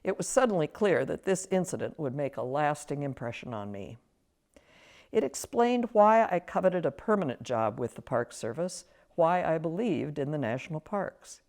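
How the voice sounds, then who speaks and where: Female storyteller, low register, scripted first-person narration, expressive and deliberately phrased. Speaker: author reading own story.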